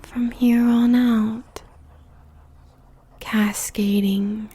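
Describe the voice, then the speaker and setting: A woman's voice: on the high side, flat delivery, very slow, mellow, husky, heavily acted. A woman playing a seductive, possessive demon succubus queen in a roleplay audio, whispering breathily and savouring her words with teasing sensuality.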